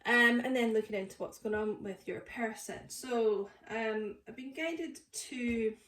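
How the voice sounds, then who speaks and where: A woman's voice: a little high, a little slow, fairly bright tone, a little hiss or hum. A woman with a stuffy nose from a cold, chatting casually through a tarot reading with lots of fillers. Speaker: tarot reader. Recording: echoey room.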